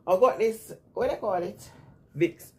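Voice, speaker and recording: Husky stuffy-nosed woman vlogger. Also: mid-range voice, normal speed, darkish tone. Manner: rambling, chatty, repeats herself. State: stuffy nose from a cold. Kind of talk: casual chat to viewers.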